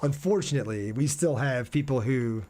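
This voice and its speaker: A male game streamer with a medium-pitched voice chats casually to his viewers. He talks through things in an informal, conversational way, reading comments and replying as he goes. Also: normal speed, mellow. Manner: reads viewer comments aloud and answers them. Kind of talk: casual livestream chat over gameplay.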